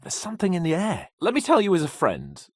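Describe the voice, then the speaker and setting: A man's voice: high-pitched, wildly swooping pitch, fast talker, husky, no echo, clean studio sound, exaggerated voice. An actor playing a cocky young medieval nobleman in a video game, haughty and playful with a theatrical manner. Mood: breezy, teasing. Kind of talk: video game character dialogue.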